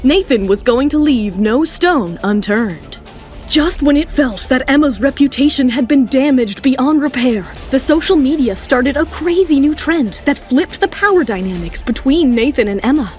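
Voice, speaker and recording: A woman with a high voice tells a romance audio serial as its narrator. Her delivery is scripted and dramatic, with music running behind her. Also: expressive, normal speed, darkish tone. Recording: music bed under the voice.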